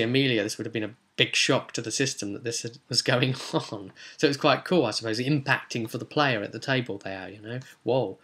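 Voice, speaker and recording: A man recounts his tabletop roleplay session as game master, talking loosely and circling back with ums and restarts. His voice is bright and forceful, and his pitch sits in a middle range for a man. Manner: rambling, frequent ums and restarts.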